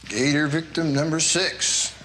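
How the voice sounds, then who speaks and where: An actor playing a wry, suspicious, bewildered astronaut in a science fiction TV drama, with sardonic, clipped delivery. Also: male voice, a little high, steady tone, measured pace, bright, forceful tone, slight background noise, poor audio.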